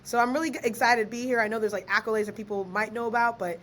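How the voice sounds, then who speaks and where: A fairly high-voiced young woman talks with a podcast host. She is lively and slangy and laughs often. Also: rapid-fire, a little hiss or hum. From Ghana, raised in Maryland. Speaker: guest, author and researcher. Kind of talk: casual podcast interview.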